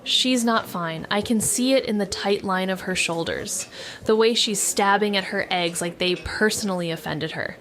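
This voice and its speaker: A woman's voice: medium pitch, moderate pace, a bit bright, natural voice. A young woman playing a cautious, anxious former child detective who narrates a noir audio drama. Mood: tense, brooding. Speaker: narrator and lead actor.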